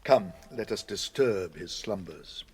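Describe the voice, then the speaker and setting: A man's voice: sits low, animated, measured pace, slightly rough, heavily acted. A man acting a wise old wizard, stately, kindly and commanding, in a radio drama. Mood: gentle, stately.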